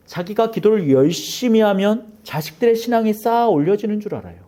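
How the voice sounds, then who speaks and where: A male pastor preaching in a church with a warm, mellow voice. His pitch swings widely, rising for emphasis and falling low for hushed asides. He acts out dialogue and drops to near whispers. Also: animated, brisk. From Seoul. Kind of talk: sermon. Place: church sanctuary.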